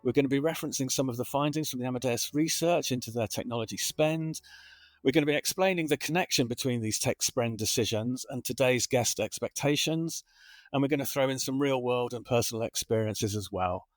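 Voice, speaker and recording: A man's voice: medium pitch, brisk, no room sound. A man hosting a corporate podcast, chatty and loosely structured, repeating phrases while he frames his questions. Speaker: podcast host.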